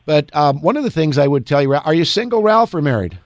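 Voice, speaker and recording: A man's voice: on the high side, big pitch swings, fast, dry recording. A man in his sixties, an attorney hosting a call-in radio advice show, upbeat and folksy with easy jokes. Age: 60s.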